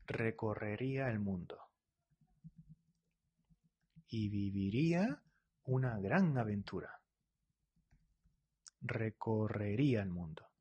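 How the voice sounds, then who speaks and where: A man teaching Spanish in a live online class, reading out and correcting viewers' comments. He talks quickly and keeps checking in with "¿vale?" and "¿eh?". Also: mid-range voice, darkish tone, breathy edge.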